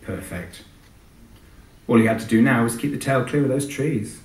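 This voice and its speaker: A man's voice: medium pitch, normal speed, a little mellow. Man reading aloud, echoey hall. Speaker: author. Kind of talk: live reading from a novel. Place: live event in a large venue. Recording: echoey hall, distant microphone.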